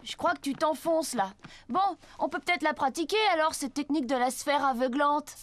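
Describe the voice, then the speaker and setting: A woman's voice: high voice, a little fast, no echo, faint music behind, close to an everyday voice. A woman voicing an earnest, sensible, slightly hesitant schoolboy in a ninja cartoon. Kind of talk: cartoon voice acting.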